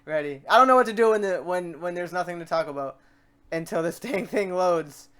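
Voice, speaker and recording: High-voiced man, chatty rambling tutorial host. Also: expressive, fairly quick, pushed and bright, smooth. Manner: informal, chatty and rambling, stumbles and repeats words. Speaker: host of a software tutorial series. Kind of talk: casual screen-recorded software tutorial, talking off the cuff. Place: voice-over to a screen recording.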